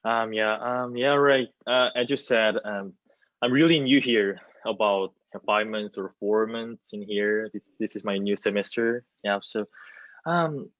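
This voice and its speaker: Low-voiced young Korean-accented man, halting. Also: a bit bright, dry recording. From South Korea. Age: 20s. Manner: halting, repeats phrases, non-native English. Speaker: theater student. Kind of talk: hesitant spoken answer in an online panel discussion. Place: small plain indoor room. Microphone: video call, wireless earbuds. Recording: muffled video-call audio.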